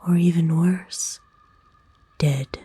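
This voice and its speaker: A woman voicing a kind, nurturing forest witch in a soothing, folksy, unhurried breathy whisper for an ASMR roleplay. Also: lowish voice, steady tone, warm tone, no room sound, full character voice. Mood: gently coaxing. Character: kind, nurturing forest witch, playful and gently old-fashioned. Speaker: ASMR voice actor.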